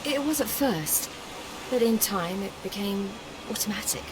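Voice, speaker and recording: An actress playing a calm, free-spirited young woman in a video game, composed and measured. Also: medium pitch, close to an everyday voice. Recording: game audio with clear ambient noise.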